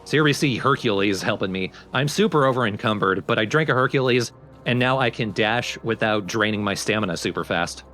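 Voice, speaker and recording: A man's voice: fairly low pitch, fairly quick, a bit bright, slight background noise. A man hosting a let's play, explaining the game in casual commentary. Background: video game music.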